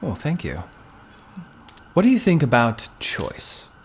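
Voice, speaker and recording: An actor plays a frank, shaken man facing the end in a theater drama. He talks slowly and haltingly, with dry wit. The recording carries faint noise and room ambience. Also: mid-range voice, huge pitch swings, exaggerated voice. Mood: resigned, probing. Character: doomed married man, frank, wry, shaken stranger. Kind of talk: stage play dialogue.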